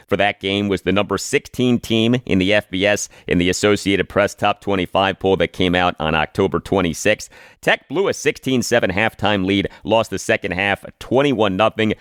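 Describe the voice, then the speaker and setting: A man hosting a sports podcast, giving scripted commentary and predictions with emphatic, deliberate phrasing. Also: on the low side, smooth, dry recording, studio quality.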